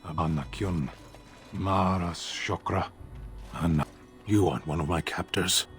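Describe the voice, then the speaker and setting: An actor playing a proud, caged giant warrior who speaks tersely, with solemn resignation, in a fantasy game. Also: male voice, sits low, a little slow, soft background music. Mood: cold, guarded. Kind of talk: dramatic game dialogue.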